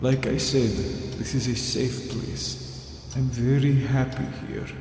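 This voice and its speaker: An actor in an audio drama playing a gentle, fatherly older mentor who speaks in an unhurried, coaxing, unsettling way. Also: mid-range voice, fairly even intonation. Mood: soothing, fatherly. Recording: echoey reverberant hall, music underneath.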